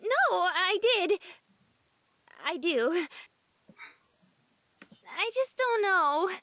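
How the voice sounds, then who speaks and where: A woman voicing a shy, whiny young woman in a visual novel, halting and pleading. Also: very high voice, expressive, fairly bright tone, dry recording, full character voice. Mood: anxious, hesitant.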